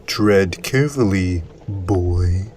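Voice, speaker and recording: A man playing a calm, protective uncle in an audio drama, giving grave and measured counsel. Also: deep voice, far from the mic. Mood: grave warning. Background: music.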